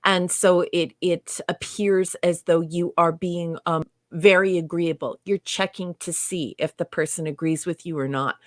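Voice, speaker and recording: A woman with a Canadian accent talks casually on a livestream, reading out viewer comments and laughing often. Her voice sits low for a woman. The sound is dry and close, with no room echo. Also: fairly even intonation, measured pace, few pauses. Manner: chatty, laughs often, reads chat aloud. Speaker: co-host.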